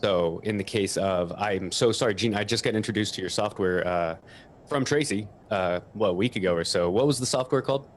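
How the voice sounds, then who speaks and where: Low-voiced man explaining tech, noisy background. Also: fairly quick. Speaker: guest audio equipment expert. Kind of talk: informal technical explanation.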